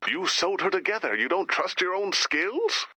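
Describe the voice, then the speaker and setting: A man's voice: high-pitched, big pitch swings, normal speed, pushed and bright, slightly rough, no room sound, full character voice, poor audio. A man voicing a fussy, flustered robot director in a video game, earnest and fretful about safety. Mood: wry concern. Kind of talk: video game character dialogue.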